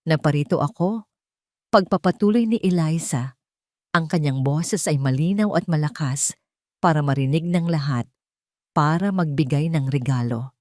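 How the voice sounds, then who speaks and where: A Filipino woman narrates a scripted audio drama. Her voice sits low, and the recording is dry, with very little room sound. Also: moderate pace, a little mellow, no echo.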